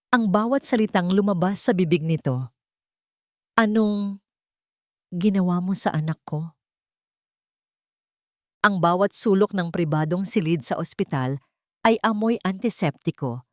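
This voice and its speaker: A woman narrating a romance audio drama in a measured, grave storytelling manner. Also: lowish voice, darkish tone, no room sound, studio quality.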